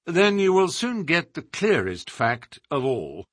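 A man voicing a self-assured gentleman detective in an audiobook, explaining in a precise, formal, theatrical way. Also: on the high side, very animated, a little slow, no echo, studio quality, pitched above the performer's own voice. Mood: brisk.

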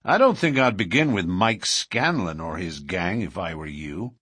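A man reading an audiobook, voicing a heavy, good-natured policeman calmly warning a newcomer. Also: low voice, unhurried, slightly rough, no room sound, full character voice. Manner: calm, warning.

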